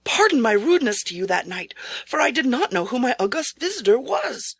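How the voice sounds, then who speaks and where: A woman's voice: a little high, sing-song, a little fast, slightly rough, no echo, pitched above the performer's own voice, exaggerated voice. A woman voicing a poor but proud male samurai knight in a storybook, speaking earnestly and formally. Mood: awed, apologetic. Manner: earnest, formal, solemn speech.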